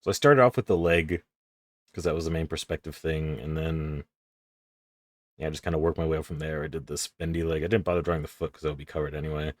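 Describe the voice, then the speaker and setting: A young man with a deep voice, casually rambling and swearing as he talks through his drawing on a stream. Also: steady tone, quick pace, no echo. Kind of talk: casual commentary while drawing.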